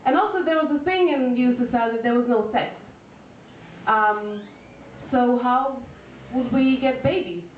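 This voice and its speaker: A woman's voice: fairly high pitch, measured pace, a little hiss or hum. Woman doing stand-up, echoey and distant. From Russia. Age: 20s. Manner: deadpan with frequent fillers and restarts. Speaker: stand-up comedian. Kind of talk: stand-up comedy set. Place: live venue with audience. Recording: echoey lo-fi room recording from far off.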